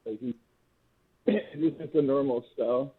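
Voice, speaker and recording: A man's voice: mid-range voice, steady tone, measured pace, breathy edge, no echo, narrow, tinny audio. A man playing a tabletop roleplaying game in casual banter, heard through a thin voice channel.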